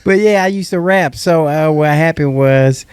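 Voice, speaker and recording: A man's voice: a little high, normal speed, crowd noise. A man from Illinois, an app founder, telling his story in a rambling way with many fillers. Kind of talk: casual interview.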